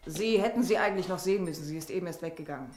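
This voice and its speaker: An actress in a radio drama playing a frank, sharp-tongued working woman with a dry, teasing manner. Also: on the low side, racing, a little hiss or hum, close to an everyday voice. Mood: polite. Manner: blunt, dryly teasing.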